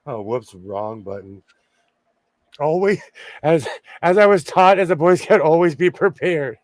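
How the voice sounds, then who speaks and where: A man in his forties hosting a casual sewing livestream, hoarse and losing his voice, joking and laughing often as he reads the chat. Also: a little high, lively intonation, slow pace, long pauses, no echo.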